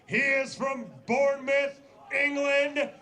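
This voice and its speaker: A man's voice: very high-pitched, little pitch movement, pushed and bright. A man making formal boxing weigh-in announcements as ring announcer, showily stretching out the names. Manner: showy, drawn-out names.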